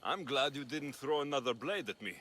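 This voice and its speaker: An actor playing a stern, impatient mercenary of ancient Greece in a video game cutscene. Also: male voice, mid-range voice, expressive, fairly quick, sharp tone. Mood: grim resolve.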